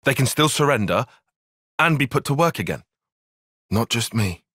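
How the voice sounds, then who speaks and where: Game role: a young British tactician, formal and exact, earnest and careful, with lively intonation at a middling pitch. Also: male voice, moderate pace, dry recording, studio quality. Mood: measured, analytical. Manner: precise, formal, articulate. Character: earnest, cautious, brainy young male soldier-strategist. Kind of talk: video game cutscene voice acting.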